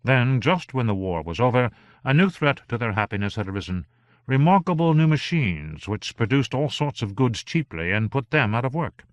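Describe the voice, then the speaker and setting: A man narrating an audiobook in a measured, even reading style. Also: mid-range voice, no room sound.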